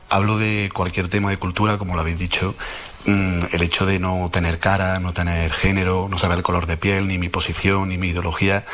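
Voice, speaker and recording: Deep-voiced calm Andalusian man. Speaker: guest. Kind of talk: radio interview.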